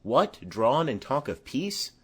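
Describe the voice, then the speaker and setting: A man's voice: medium pitch, big pitch swings, slow pace, slightly rough, exaggerated voice. A man playing a hot-tempered young swordsman, scornful and challenging, in a Shakespearean audiobook. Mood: menacing.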